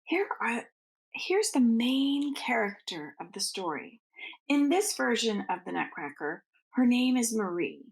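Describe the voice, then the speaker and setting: Slow, creaky-voiced woman reading a storybook. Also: a little high, sing-song, poor audio. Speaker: school teacher reading aloud to students. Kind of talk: storybook read-aloud for children.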